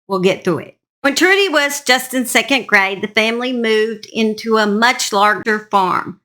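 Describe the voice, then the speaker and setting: A woman's voice: fairly high pitch, moderate pace, bright, forceful tone. A woman host narrating a true crime story in an informal, plain-spoken, conversational way, speaking straight to her listeners.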